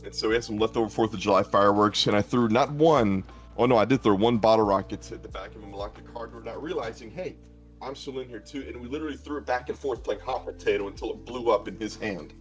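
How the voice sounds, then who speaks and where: A man with a lowish voice banters on a stream, telling stories about himself and poking fun at his own antics. He is an esports caster, and faint music plays under his talk. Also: fast talker. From South Arkansas, USA. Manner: storytelling, self-mocking, joking.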